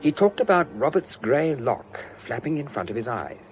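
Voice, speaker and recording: An actor playing a breezy, witty young painter with a British accent, flippant and theatrical in a vintage radio drama. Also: male voice, mid-range voice, very animated, normal speed, soft background music, lightly acted. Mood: animated recounting. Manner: jaunty, flippant, theatrical flourishes. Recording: muffled, noisy old radio recording.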